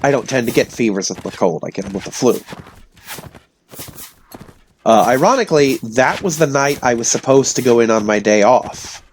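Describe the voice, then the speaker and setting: A man with a hoarse voice from illness, telling rambling stories casually to his audience. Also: mid-range voice, lively intonation, moderate pace, pauses often, slight background noise. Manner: meandering, self-interrupting, chatty. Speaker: vlogger.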